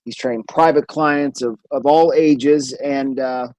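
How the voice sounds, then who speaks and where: A male podcast host introducing his guest with enthusiasm, often pausing on fillers. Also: medium pitch, steady tone, a little mellow, no room sound. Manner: enthusiastic, halting, many fillers. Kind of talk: casual interview. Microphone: remote recording.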